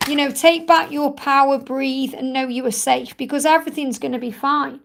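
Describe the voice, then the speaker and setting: A woman with a croaky, tired voice hosting a live card-reading stream, soothing and reassuring, repeating her phrases. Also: high voice, steady tone, normal speed, a bit bright. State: croaky, tired voice from much talking. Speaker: tarot card reader and live-stream host.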